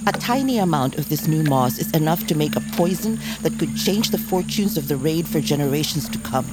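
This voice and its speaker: Grave old bear grandmother, deep-toned. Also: animated, loud music behind the voice. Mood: urgent. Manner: solemn, measured, oracular. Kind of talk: audio drama acting.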